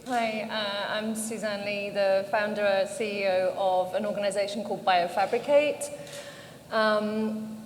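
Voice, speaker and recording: A woman's voice: medium pitch, level tone, measured pace. British woman speaking in an echoey hall. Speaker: panel speaker, founder of an organization. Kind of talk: conversational panel talk, personal storytelling. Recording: echoey hall, background noise.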